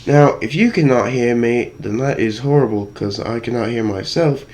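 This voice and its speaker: A man's voice: mid-range voice, moderate pace, darkish tone. A man with a put-on British accent, rambling and joking while walking through a cosplay build. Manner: rambling, jokey, self-deprecating. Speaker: cosplay maker. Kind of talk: how-to tutorial vlog. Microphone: voice muffled inside a costume helmet.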